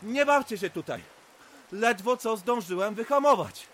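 An actor playing an alarmed, indignant driver scolding children in an audio drama. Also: male voice, high voice, expressive, moderate pace, fairly bright tone, a little hiss or hum. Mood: angry, alarmed. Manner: exclaiming, indignant.

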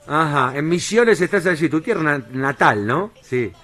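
A man's voice: on the high side, expressive, moderate pace, a bit bright. A man with an Argentine accent hosting a show, presenting and interviewing with a theatrical, emphatic delivery. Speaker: radio or show host.

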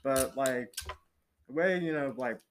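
A man's voice: mid-range voice, unhurried, noisy background. A young man speaks informally and with opinion in a group discussion, using slang and swearing. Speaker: panel participant.

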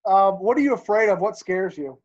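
A man hosting a podcast, asking casual questions in a relaxed, encouraging way. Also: high voice. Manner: relaxed, encouraging, quick to laugh along. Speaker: podcast host. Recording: dull, muffled audio.

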